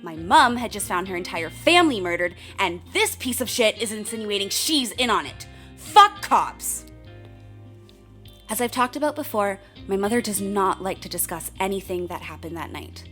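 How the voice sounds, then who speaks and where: A woman's voice: mid-range voice, lively intonation, moderate pace, pushed and bright. Blunt woman narrator, music behind. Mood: bitter, angry. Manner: frank, sardonic, swears. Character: blunt, candid woman in her thirties narrating her family's tragedy. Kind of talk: first-person confessional narration in a radio play.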